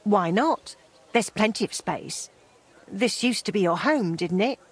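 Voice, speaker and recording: A woman playing a forgiving, no-nonsense townswoman past her youth in a dramatic game, with a brisk, kindly manner. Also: mid-range voice, lively intonation, breathy edge. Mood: kindly, matter-of-fact. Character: forgiving, brisk older townswoman, self-described old crone.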